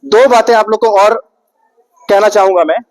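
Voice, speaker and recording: A man's voice: high-pitched, fairly even intonation, moderate pace, darkish tone. A young man giving a public speech to an audience, rhetorical and repetitive, putting questions to the listeners. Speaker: speaker at an Independence Day event.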